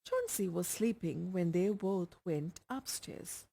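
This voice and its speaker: A woman's voice: lowish voice, lively intonation, slow pace, vocal fry, warm tone. A woman with an Indian accent reading a short story aloud as scripted narration. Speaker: audiobook reader.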